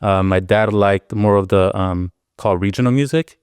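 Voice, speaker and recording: A man's voice: lowish voice, moderate pace, a little mellow, clear, resonant tone, no room sound, clean studio sound. A man in his thirties from the Arizona–Mexico border, a music producer telling stories from his childhood in an easygoing, reflective way with plenty of fillers. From Arizona-Mexico border, Sonoran Desert. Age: 30s. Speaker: podcast guest, music producer and DJ. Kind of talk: casual interview chat.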